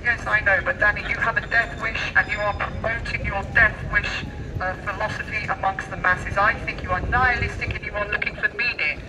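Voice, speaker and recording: A man's voice: high-pitched, steady tone, a little fast. A man debating on the street through a megaphone in a declamatory, teasing way, repeating words for emphasis. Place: outdoors on a busy city street at night. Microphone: far camera. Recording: distant, noisy city street recording.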